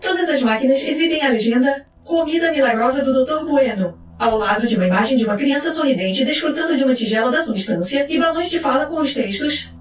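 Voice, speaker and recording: A woman narrator reading scripted case files in a formal, clinical, measured manner. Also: high voice, expressive. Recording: roomy echo with music underneath. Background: music.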